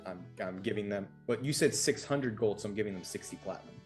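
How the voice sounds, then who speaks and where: A man's voice: lowish voice, fast talker, darkish tone, soft background music. A man chatting casually as a player in a tabletop game.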